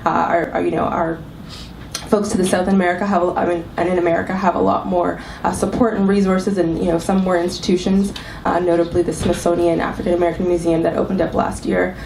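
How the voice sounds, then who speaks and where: A woman fighting a cold speaks as a panelist, feeling her way through her points with frequent ums and restarts. Her voice is a little low and sits well back from the microphone in a roomy, echoing hall, with a faint hiss under it. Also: flat delivery, fast talker, creaky, darkish tone. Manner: thoughtful, many ums and restarts. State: has a cold. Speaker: panelist, arts executive director. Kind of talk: panel talk to a live audience. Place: club event room. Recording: echoey room, mic from far off, faint hiss.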